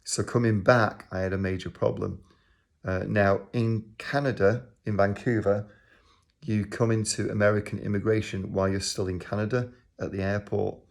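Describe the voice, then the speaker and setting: A man's voice: on the low side, level tone, moderate pace, vocal fry. An older man with a Manchester accent, telling a personal story to the camera in a rambling way, with many ums and uhs. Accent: Manchester English. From England. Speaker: organisation leader.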